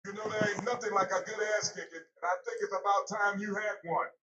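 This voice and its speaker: A man's voice: sits high, fairly even intonation, brisk, recorded from a distance, natural voice. A man playing a cocky, aggressive pro wrestler, throwing out boastful, menacing one-line taunts for a video game. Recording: muffled, echoey, noisy game audio rip.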